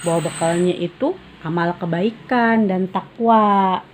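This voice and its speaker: A woman's voice: lowish voice, unhurried, slight background noise. A woman reading a storybook aloud and explaining it in a casual, informal way.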